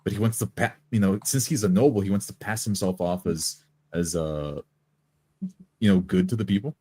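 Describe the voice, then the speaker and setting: A man's voice: deep voice, normal speed, mellow, slightly rough. A man who plays tabletop roleplaying games, talking casually about his character. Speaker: tabletop game player.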